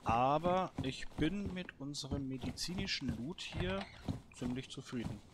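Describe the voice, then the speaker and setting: A man giving casual let's play commentary as host, thinking aloud in short, halting phrases. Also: on the high side, expressive, background noise. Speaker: host of a gameplay series.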